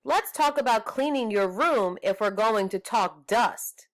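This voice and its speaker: A woman's voice: fairly high pitch, animated, unhurried. A woman reading a storybook as a patient, practical mother with a placating, reasonable manner. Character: patient, practical mother soothing her children.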